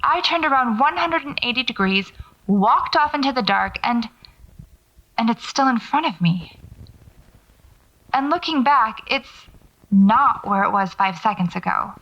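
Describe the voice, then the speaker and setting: A woman's voice: on the high side, expressive, unhurried, pauses often, fairly bright tone, highs cut off. A woman acting as a wry, stubborn paranormal investigator in an audio drama, talking to herself as she records field notes. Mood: wary, focused. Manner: muttering to herself, sardonic, self-coaching.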